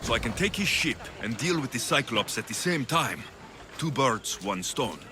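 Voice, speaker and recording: An actor playing a cocky young Greek mercenary in a video game, wry and self-assured. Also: male voice, mid-range voice, very animated, normal speed, music in the background, lightly acted. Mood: confident, bargaining. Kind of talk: video game cutscene acting.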